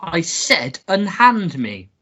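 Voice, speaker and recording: Woman playing a pompous, swooping gentleman suitor. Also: fairly low pitch, sing-song, noisy background. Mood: indignant. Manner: pompous, theatrical, drawn-out. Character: pompous young gentleman suitor, self-satisfied, easily outraged. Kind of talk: melodramatic radio play acting.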